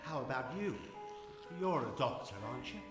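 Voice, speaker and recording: A man's voice: mid-range voice, lively intonation, moderate pace, lightly acted. Actor as an earnest, echoing male occultist. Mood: defensive, regretful. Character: earnest, defensive occultist who summoned a spirit, now regretful. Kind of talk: live radio drama acting. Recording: echoey hall, music behind.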